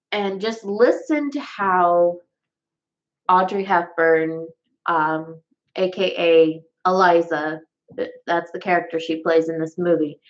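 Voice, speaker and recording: A woman with a Southern US accent speaks in a slightly low voice, rambling through a casual livestream. She piles on fillers and restarts as she goes. The recording is dull and lo-fi. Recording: dull lo-fi sound.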